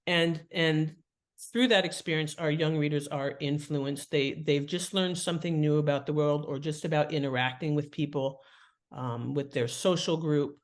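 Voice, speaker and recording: A woman's voice: sits low, steady tone, normal speed. Woman explaining in conversational, instructive style. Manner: instructive, illustrates points with examples. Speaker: guest author and writing teacher.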